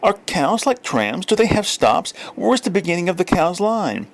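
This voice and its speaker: A man's voice: sits high, big pitch swings, normal speed, gravelly, voice pushed up, heavily acted. A man voicing eager, curious young children asking questions in a storybook reading. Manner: wide-eyed questioning.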